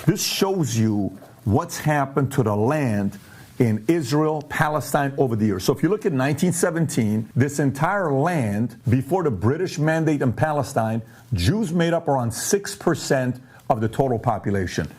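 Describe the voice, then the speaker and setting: A man born and raised in Iran hosts a commentary show, posing pointed questions and walking through charts in an emphatic, animated way. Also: mid-range voice, moderate pace, husky. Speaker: show host.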